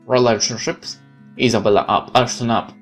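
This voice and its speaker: A man's voice: mid-range voice, fairly even intonation, normal speed. A man doing a let's play, reading a game's story text aloud with casual asides. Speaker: game streamer reading aloud. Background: game music.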